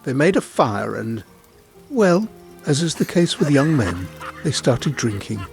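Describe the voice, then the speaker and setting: Animated male storyteller with lively pitch. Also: unhurried, vocal fry, faint music behind. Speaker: storyteller narrator. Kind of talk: dramatic scripted folk tale narration.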